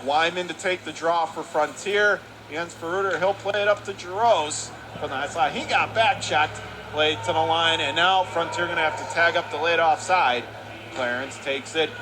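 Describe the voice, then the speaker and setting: High-voiced man calling hockey. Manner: rapid excited calling that rises sharply on goals. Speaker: play-by-play announcer. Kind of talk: radio hockey play-by-play. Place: arena broadcast position. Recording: noisy arena broadcast.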